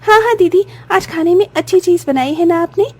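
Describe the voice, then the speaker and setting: A woman's voice: very high-pitched, brisk. A woman voicing an eager, childlike orphan girl who exclaims often, in a cartoon story. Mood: happy. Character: excitable young orphan sisters in a cartoon. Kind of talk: Hindi cartoon story dialogue.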